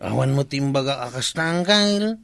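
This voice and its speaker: Bright, earnest younger village man, played by an actor. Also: mid-range voice, lively intonation, normal speed, gravelly, no echo. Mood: insistent. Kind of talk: comedy radio drama acting.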